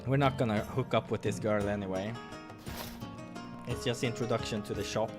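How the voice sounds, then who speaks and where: A man's voice: fairly low pitch, fairly even intonation, normal speed. A man giving casual let's play commentary, muttering asides and interrupting himself as he goes. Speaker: game playthrough narrator. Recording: background music.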